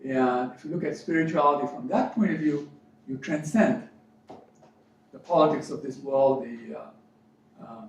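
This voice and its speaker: A man from Fiji, educated in New Zealand, gives a motivational spiritual talk as a coach, speaking deliberately with emphatic repetition. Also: mid-range voice. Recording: very echoey room, distant mic, dull sound, faint noise.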